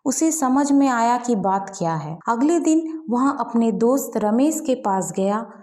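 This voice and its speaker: High, mellow woman narrating a tale. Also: lively intonation, unhurried. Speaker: story narrator. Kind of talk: scripted storytelling narration of light folk tale.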